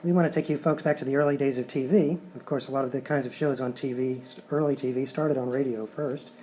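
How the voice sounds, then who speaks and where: A man's voice: medium pitch, steady tone, fast, mellow. A man presenting a live comedy show as its writer, talking conversationally to the audience. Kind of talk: stage introduction, conversational. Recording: live stage, faint noise.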